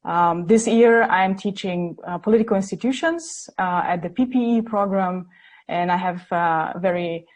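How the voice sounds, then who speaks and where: A woman's voice: on the low side, normal speed. A woman, an assistant professor, introducing herself earnestly and searching for words on a video-call webinar. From Bulgaria.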